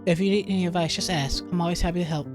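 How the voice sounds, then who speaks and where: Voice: mid-range voice, steady tone, racing, lightly acted. A game player voicing a friendly, earnest young female student tutor in a visual novel. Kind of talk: reading visual novel dialogue aloud. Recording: game music behind the voice.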